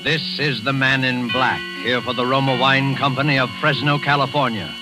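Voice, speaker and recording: A man announcing a 1940s radio drama in a solemn, measured way. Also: medium pitch, steady tone. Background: music.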